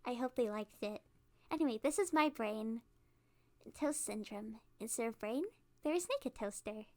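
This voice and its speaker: A woman's voice: a little high, animated, darkish tone, lightly acted. A woman playing a cute, awkward, cheerfully menacing kidnapper girl in a rambling, playful ASMR roleplay monologue. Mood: chatty, giddy. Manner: rambling, halting, giggly, playful.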